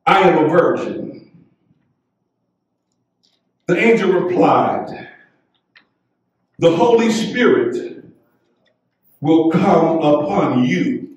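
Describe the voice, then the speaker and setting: A middle-aged American man preaching as a pastor in a measured, fervent, declamatory way. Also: a little high, distant mic. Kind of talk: sermon, scripture reading and prayer. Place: church sanctuary at the pulpit. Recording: echoey church hall, off mic.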